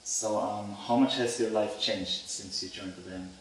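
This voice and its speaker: A man's voice: on the low side, steady tone, measured pace. German male interviewer in a very echoey room. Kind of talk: casual interview questions. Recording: very echoey room, distant mic, faint noise.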